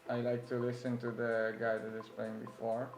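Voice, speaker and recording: A man's voice: mid-range voice, completely flat delivery, moderate pace, reverberant. A young man from Italy with a light Italian accent, chatting easily as an interview guest about his work and life. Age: young adult. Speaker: doctor and DJ guest. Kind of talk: casual interview chat.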